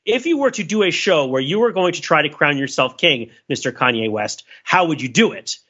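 A man's voice: fairly high pitch, lively intonation, a little fast, bright, forceful tone, breathy edge. A man talking casually and analytically on a podcast panel, with discursive, intellectual phrasing and frequent rhetorical check-ins, on a slightly dull recording.

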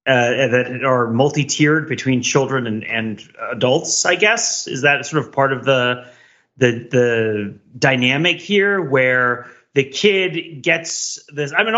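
A middle-aged man co-hosting a podcast, talking analytically in wordy, deliberate phrases. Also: mid-range voice, pushed and bright, dull audio. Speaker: podcast co-host. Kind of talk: analytical conversational discussion.

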